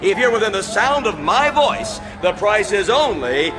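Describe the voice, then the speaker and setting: A man playing a brash carnival pitchman in a radio drama, hard-selling a girlie show with showy, folksy patter. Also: big pitch swings, unhurried. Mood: showy, coaxing. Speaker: carnival barker. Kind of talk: sideshow barker patter, radio drama acting. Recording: radio drama with prominent music behind. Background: loud music.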